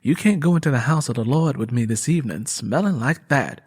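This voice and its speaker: A man voicing a devout, worried young pregnant country woman who gently scolds in folksy speech, for an audiobook. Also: mid-range voice, expressive, a little fast, slightly rough. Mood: firm. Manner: folksy rural vernacular. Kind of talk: audiobook character dialogue.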